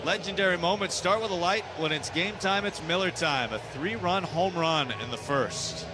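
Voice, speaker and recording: A man's voice: sits high, normal speed, background noise. A man with an American accent calling live baseball play-by-play on radio in short, clipped phrases.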